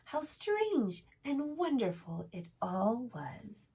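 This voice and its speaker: A woman reading aloud to young children as a preschool storytime host, expressive and often putting questions to her listeners. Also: a little high, slow pace, breathy edge.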